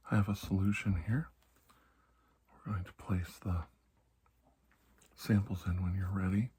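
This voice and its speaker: A man doing soft-spoken ASMR roleplay as a calm doctor, speaking gently and reassuringly, often dropping into a whisper. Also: sits low, measured pace, slight background noise. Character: calm, reassuring male doctor examining a patient. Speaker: ASMR performer playing a doctor.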